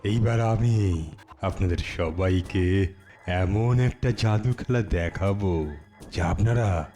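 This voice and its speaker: A man's voice: low-pitched, takes it slowly, mellow. An actor playing a sly showman magician in a cartoon, theatrical and repeating phrases for suspense. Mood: grandly teasing. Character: sly showman street magician, eager for coins. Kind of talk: cartoon voice acting.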